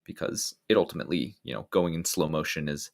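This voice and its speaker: Very deep gravelly male commentator. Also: fast talker. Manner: relaxed, explanatory, frequent ums and restarts. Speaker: speedrun commentator. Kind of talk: live game commentary.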